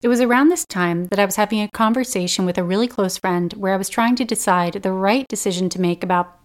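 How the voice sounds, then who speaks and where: Earnest, slightly raspy woman podcaster. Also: mid-range voice, quick pace. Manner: earnest, gentle and encouraging. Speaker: podcast host. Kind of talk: personal self-help podcast monologue.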